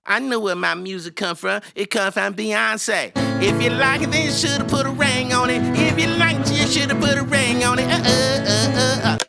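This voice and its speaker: A man's voice: very high voice, steady tone, normal speed, vocal fry, hardly any pauses, over loud music, voice pushed up. A man voicing a cocky school kid who talks back in a sassy sing-song and breaks into pop singing.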